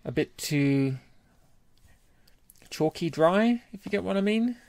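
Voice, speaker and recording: A man co-hosting a podcast, giving a measured, analytical take in casual review chat. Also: high-pitched, animated, darkish tone, no echo. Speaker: podcast co-host.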